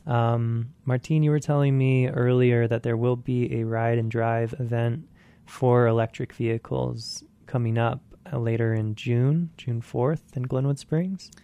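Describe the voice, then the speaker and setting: Male interview host, mellow and fry-laden, conversational and halting. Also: medium pitch, steady tone, dull audio. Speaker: radio host.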